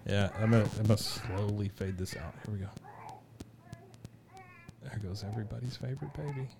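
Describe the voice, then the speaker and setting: A man's voice: on the low side, a little fast, creaky, noisy background. A man co-hosting a podcast, chatting casually and joking, sometimes trailing off into a mumble. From born in Taiwan, raised in Texas. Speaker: podcast co-host. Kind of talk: casual chat.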